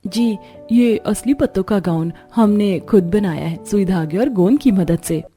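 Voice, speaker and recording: Kind young cartoon bride, voiced by a woman, reassuring and soft-toned, light music behind. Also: medium pitch, a little slow, natural voice. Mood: proud.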